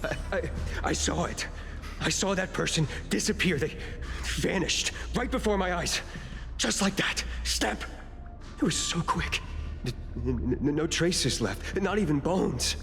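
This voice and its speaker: A man voicing a terrified eyewitness in a video game, stammering in halting fragments. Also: high voice, animated, creaky, a bit bright, full character voice. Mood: terrified, shaken. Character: terrified, stammering male eyewitness at a space station. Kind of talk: video game character acting. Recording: reverberant game audio with music.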